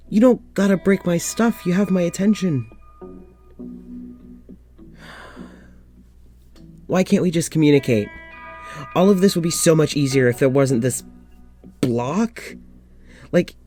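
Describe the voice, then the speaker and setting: An actor playing a lonely, weary doctor in an audio drama, confiding in a halting, sighing way. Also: male voice, on the high side, warm tone. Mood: exasperated, pleading. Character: lonely, weary young doctor venting to his demon dog.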